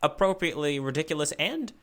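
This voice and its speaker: A man's voice: on the high side, expressive, fast talker. A man co-hosting a podcast in casual discussion, often repeating words as he thinks aloud. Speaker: podcast co-host. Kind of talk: casual chat, manga discussion.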